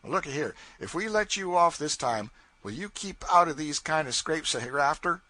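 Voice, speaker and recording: A man voicing a stern but fair raftsman leader, gruff and commanding. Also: fairly high pitch, lively intonation, moderate pace, sharp tone, pitched above the performer's own voice. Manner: gruff, commanding, then lenient.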